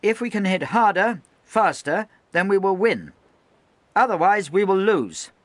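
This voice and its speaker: A man voicing a young, anxious naval lieutenant who barks shrill, clipped orders. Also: brisk, a bit bright, no echo. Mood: shrill commanding.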